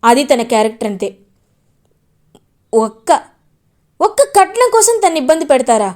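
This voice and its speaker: A woman voicing an indignant young man who scolds loudly, retelling a family drama aloud. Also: high-pitched, expressive, normal speed. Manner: raised, scolding delivery. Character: indignant young man, protective older brother.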